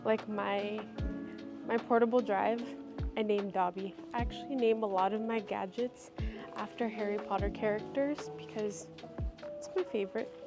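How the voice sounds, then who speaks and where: A woman's voice: medium pitch, fairly even intonation, normal speed, over music. A woman in her thirties from Washington state, chatting casually and a little formally while vlogging through a shopping mall with a handheld camera. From Washington state, USA. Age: 30s. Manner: chatty, giggly, slightly formal phrasing. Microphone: handheld gimbal camera.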